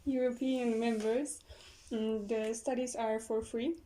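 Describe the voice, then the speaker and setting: A young woman speaking English as an as a podcast guest, giving brief, halting answers. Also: fairly high pitch, flat delivery, mellow, rough recording. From Poland. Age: young adult. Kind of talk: casual chat.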